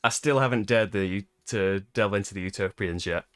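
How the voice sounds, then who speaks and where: A man's voice: on the low side, normal speed, a bit bright, dry recording, studio quality. A British man hosting a live board game playthrough, chatting casually and laughing often at his own expense. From Britain. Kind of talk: casual live-stream chat.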